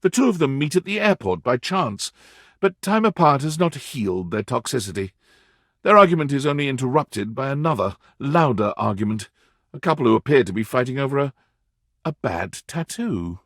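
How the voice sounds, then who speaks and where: A man performing as the storyteller narrator of a dating game, reading scripted second-person narration in an expressive, measured way. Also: medium pitch, dry recording, clean studio sound. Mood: wry storytelling.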